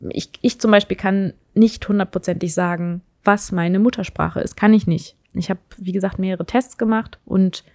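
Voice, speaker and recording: A woman's voice: mid-range voice, a little mellow, no echo, studio quality. A young woman casually talking through her views on relationships, thinking aloud with frequent hesitations and restarts. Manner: thoughtful, hesitant, many fillers and restarts. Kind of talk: casual reflective monologue.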